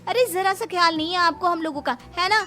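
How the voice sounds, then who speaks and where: A woman's voice: extremely high pitch, fairly quick, pushed and bright, soft background music. A young woman voicing a talkative, bossy but caring teenage big sister who scolds and fusses in a moral story. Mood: bossy, fussing. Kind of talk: acted moral story dialogue.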